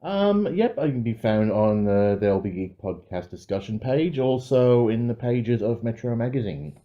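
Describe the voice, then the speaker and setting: A man co-hosting a podcast, giving his film review in a casual, measured and considered way. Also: mid-range voice, animated, dull audio.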